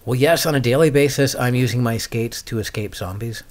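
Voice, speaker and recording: A man's voice: medium pitch, a little fast, darkish tone. Wry, casual man chatting. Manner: wry, dry humour. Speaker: skating podcast host. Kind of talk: casual rambling chat, answering viewer questions.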